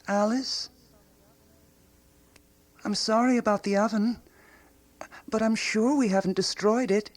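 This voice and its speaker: A woman playing an eccentric, earnest amateur investigator in a radio drama, with a deliberate, unflappable manner. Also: a little high, steady tone, natural voice. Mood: pleading. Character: Gertrude, eccentric, matter-of-fact, obsessive woman amateur investigator.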